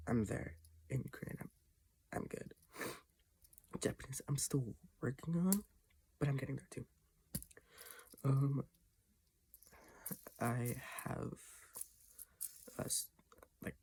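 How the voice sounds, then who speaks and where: A teenager talking casually in a personal vlog from their bedroom, halting with restarts and often dropping to a half-whisper. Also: medium pitch, vocal fry, darkish tone, slight background noise. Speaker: vlogger. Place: own bedroom, indoors.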